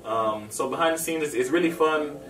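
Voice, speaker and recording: A young man from Miami, a guest on an interview show, talking in a relaxed way with lots of fillers. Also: a little high, brisk, a little hiss or hum. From Miami, USA. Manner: relaxed, uses many fillers. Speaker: guest, aspiring model. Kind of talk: casual interview. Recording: echoey room, far from mic, music behind.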